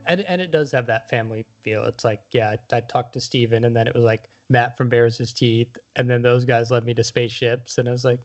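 A man's voice: medium pitch, steady tone, a little fast, hardly any pauses. A man hosting a podcast interview in a casual, chatty style. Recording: dull, lo-fi sound.

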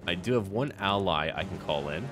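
A man's voice: sits low, expressive, a little fast. A man giving casual commentary over a strategy game, talking to himself in short remarks and reading bits aloud. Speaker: gaming video host. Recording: music behind the voice. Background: background music.

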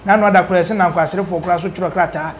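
A Ghanaian man in his thirties presents the news informally from a studio. His voice sits high and he talks quickly, with some background noise around him. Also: steady tone. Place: indoor studio. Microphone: lapel mic.

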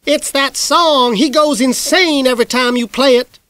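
A man voicing a complaining, put-upon older woman who whines and wails in a high voice. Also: moderate pace, bright, forceful tone, dry recording, clean studio sound. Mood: whiny. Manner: whiny, wailing.

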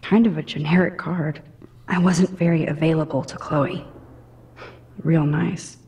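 A woman voicing a shy, thoughtful teenage girl in a video game, talking gently and often in a soft, self-critical inner monologue. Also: deep voice, steady tone, unhurried, lo-fi sound, slight background noise. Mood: rueful.

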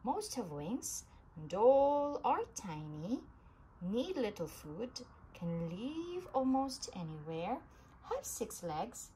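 A woman reading a children's science book aloud in an animated storybook manner, with emphasis for young listeners. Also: on the high side, slow. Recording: clearly noisy home recording.

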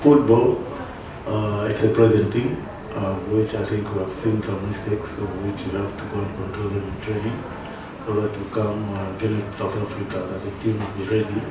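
A low-pitched man speaking as a football coach at a press conference, measured and hesitant. His delivery stays flat and even. The room echoes heavily and he sounds set back from the mic. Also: far from the mic, noisy background. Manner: measured, hesitant, many "uh" fillers. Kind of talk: post-match press conference. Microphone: headset mic.

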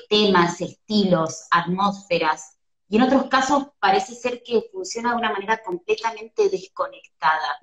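A woman in her thirties with a Rioplatense Spanish accent hosts an interview over a video call. Her voice sits a little low, and she feels her way through long questions. The room around her sounds roomy and echoey. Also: a bit bright. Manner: thoughtful, searching for words. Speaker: host, interviewer. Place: room at home.

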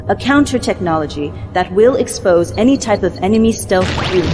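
A woman's voice: mid-range voice, natural voice. An actress playing a dutiful, controlled female military mentor in a science fiction game, giving clipped, formal briefings. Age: young adult. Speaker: actor in game cutscenes. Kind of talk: formal scripted mission briefing. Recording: long hall reverb, music underneath. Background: background music.

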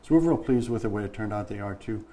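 A man's voice: lowish voice, expressive, fast, mellow. A man in his fifties, a painting contractor and guest in a casual studio interview, talking plainly about his projects. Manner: plain-spoken, practical, explains with hand gestures. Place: bright studio set at a table.

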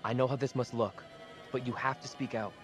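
A man's voice: mid-range voice, steady tone, a little fast, faint music behind, lightly acted. An actor playing a pushy young man in a horror game, talking in casual, slangy, urgent phrases. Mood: earnest. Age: young adult. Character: blunt, pushy college-age guy in a horror game. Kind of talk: video game cutscene drama.

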